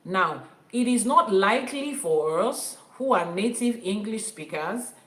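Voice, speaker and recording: A woman's voice: mid-range voice, animated, a little slow, a bit bright, reverberant. A Nigerian woman whose first language is Yoruba, teaching as an Ifa priestess and stressing her points by repeating them. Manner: emphatic, repeats key phrases for stress. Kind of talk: teaching talk to an online audience.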